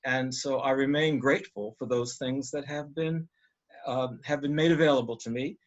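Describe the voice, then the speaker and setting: A man's voice: mid-range voice, fairly even intonation. A man reflecting as a panelist on a video call, choosing his words carefully and hesitantly. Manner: measured, hesitant, careful wording. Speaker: panelist, organist. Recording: dull, lo-fi video call audio.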